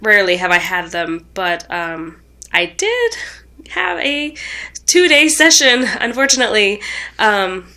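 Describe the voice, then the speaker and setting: A young woman with a cold talks into her camera, her voice stuffed up and a little tired. Her tone is bright and edgy as she rambles, stops to correct herself and fills gaps with ums. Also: mid-range voice, very animated, unhurried. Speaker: vlogger. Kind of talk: casual rambling vlog update.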